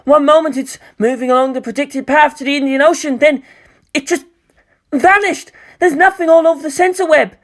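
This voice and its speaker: Woman as a high, panicky young male officer. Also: lightly acted. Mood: panicked. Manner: hurried, urgent reporting. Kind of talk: dramatic audiobook character acting.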